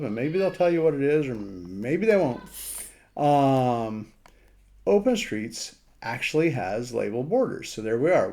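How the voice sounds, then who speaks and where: A man giving a casual screen walkthrough as a radio hobbyist, thinking aloud in a halting way. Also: mid-range voice, warm tone. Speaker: amateur radio operator presenting a map demo.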